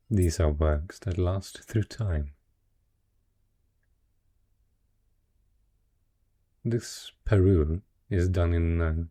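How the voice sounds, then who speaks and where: Fantasy audio roleplay: male actor as a wealthy dragon in human guise, possessive and courtly, low-pitched, dark mellow tone, eloquent. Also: slightly rough, heavily acted. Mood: proud, covetous. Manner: refined, eloquent, effusive. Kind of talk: romantic fantasy audio roleplay addressed to the listener.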